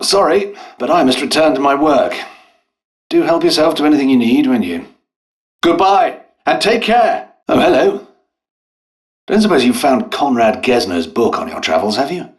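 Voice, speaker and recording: An actor playing a polite, dutiful, harried English doctor and scientist in a video game, with a British accent and a hesitant, genteel manner. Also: male voice, medium pitch, natural voice. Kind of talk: scripted game character dialogue.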